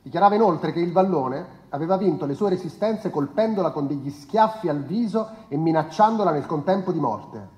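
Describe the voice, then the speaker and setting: A man playing a formal narrator who reads a police report in measured documentary style. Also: fairly high pitch, animated, a little mellow, natural voice. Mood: formal, grave. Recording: echoey room.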